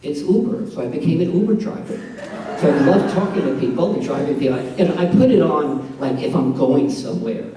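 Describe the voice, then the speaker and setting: A man's voice: fairly high pitch, animated, fairly quick, background noise. Fields: voice: older New York man. Style: stand-up punchlines. Room: echoey. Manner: storytelling punchlines, self-mocking. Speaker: amateur stand-up comedian. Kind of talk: stand-up set at a benefit event. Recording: echoey room, distant mic, audience. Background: audience laughter.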